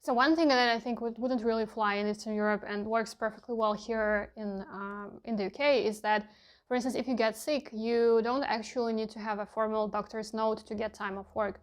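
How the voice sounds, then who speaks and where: A young woman whose first language is Russian, talking candidly in a casual vlog and often pausing with fillers as she hunts for words. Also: a little high, steady tone, clear, resonant tone. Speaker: vlogger.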